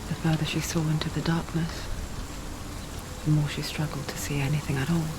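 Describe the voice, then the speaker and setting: An actress playing an intimate, foreboding narrator inside a troubled warrior's mind, in a breathy, whispery voice. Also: female voice, low-pitched, level tone, background noise, close to an everyday voice. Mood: regretful, ominous. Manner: slow, hushed, foreboding. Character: soft-spoken female narrator voice haunting a troubled warrior's mind.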